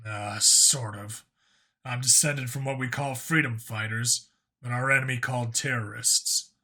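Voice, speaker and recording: A plainspoken, curious human cop from a sci-fi audiobook, played by a man. He talks casually and bluntly, a bit hesitant at times, in a middle-pitched, bright voice. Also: steady tone, a little slow, full character voice. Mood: reflective. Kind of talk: audiobook character acting.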